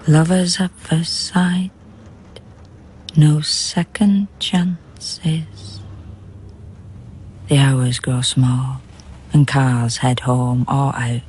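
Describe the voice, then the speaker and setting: A woman narrating a poetic radio drama in a measured, hushed, deliberate way, as a grave observer of men sleeping rough. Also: rumbling low, level tone, heavily acted. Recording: faint background noise and ambience.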